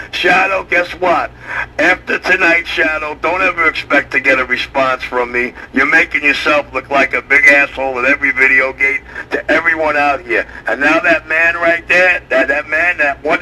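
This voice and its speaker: Combative man, piercingly bright CB radio voice. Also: fairly high pitch. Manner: combative, boastful. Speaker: CB radio operator. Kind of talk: taunting CB radio transmission. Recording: radio transmission, distant, faint noise.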